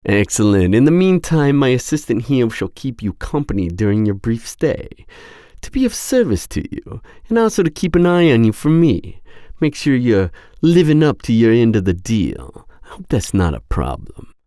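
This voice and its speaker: A man's voice: medium pitch, very animated, normal speed, warm tone, no echo, clean studio sound, close to an everyday voice. A man playing an imperious crime boss in an audio roleplay drama, smug, cold and businesslike. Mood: satisfied, gracious.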